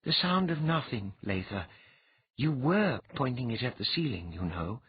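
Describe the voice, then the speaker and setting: A husky-voiced audiobook reader voicing a patient woman who instructs calmly with a wry edge. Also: mid-range voice, normal speed, dry recording, narrow, tinny audio, voice pushed up. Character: patient, teasing woman teacher.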